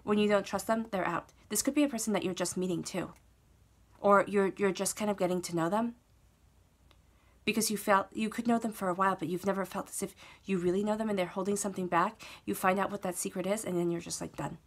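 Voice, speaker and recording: A woman giving a tarot reading on her own, her voice stuffed up from a cold. She speaks in a steady, reassuring way, circling back over phrases and pausing to check in with the listener. Her pitch sits in an ordinary middle range for a woman. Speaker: tarot reader. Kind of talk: solo tarot reading to camera.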